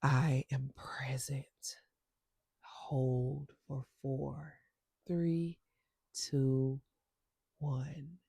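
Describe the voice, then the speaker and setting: A woman with a stuffy nose guiding a spiritual intention ceremony with breathwork, speaking slowly and softly and often dropping to a whisper. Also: extremely low pitch, flat delivery, gravelly, slightly rough, no echo. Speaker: spiritual circle facilitator.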